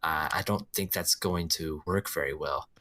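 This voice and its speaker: A man's voice: rumbling low, normal speed, sharp tone. A man playing a tabletop role-playing game, talking casually as he improvises his character's moves.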